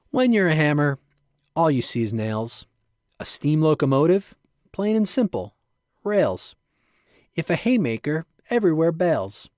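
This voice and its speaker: A man reading his own poetry aloud in short, rhythmic phrases with a playful, measured delivery. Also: fairly high pitch, darkish tone, no room sound, studio quality. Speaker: poet reading own work.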